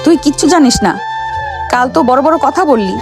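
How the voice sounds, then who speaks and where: A woman's voice: sits high, expressive, a little fast, over music. A woman playing a haughty, hot-tempered young wife who bosses her servant husband in a romantic audio story. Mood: bossy, irritated. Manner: scolding, bossy, dramatic.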